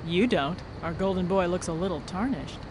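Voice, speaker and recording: A woman's voice: lowish voice, moderate pace, natural voice. A woman playing a cool, tough, sardonic mercenary in a video game, teasing and curt, over background noise.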